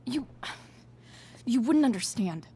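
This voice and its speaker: An actress voicing a tough, guarded, foul-mouthed teenage girl in a video game, with a husky voice and muttered, halting delivery. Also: fairly high pitch, lively intonation, warm tone, a little hiss or hum. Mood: defensive, uneasy.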